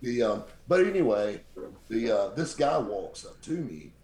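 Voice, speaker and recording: Man, very deep voice, lively storytelling, echoey room. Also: unhurried. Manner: animated, mimics voices in his stories. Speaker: podcast guest, radio show host. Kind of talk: casual storytelling.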